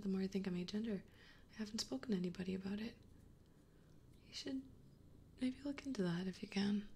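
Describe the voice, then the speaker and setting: A young woman streamer whispering softly and gently, chatting with viewers in an ASMR livestream. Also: medium pitch, gravelly, a little hiss or hum. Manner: soft whispering, gentle and reassuring.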